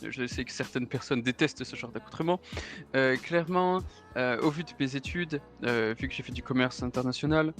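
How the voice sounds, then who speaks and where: A man's voice: medium pitch, fairly quick, fairly bright tone, faint music behind, close to an everyday voice. A man in his thirties roleplaying a German real estate agent who loves parties, speaking with a German accent in a formal, hesitant way full of fillers and German interjections. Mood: chatty, courteous. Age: 30s. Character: 33-year-old German man, suave real estate agent and party lover.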